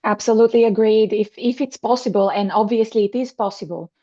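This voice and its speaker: Woman host from Bulgaria, earnest and agreeable, mellow voice on a dull recording. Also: medium pitch, brisk. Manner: earnest, agreeing, with frequent fillers and restarts. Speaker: podcast host. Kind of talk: conversational interview.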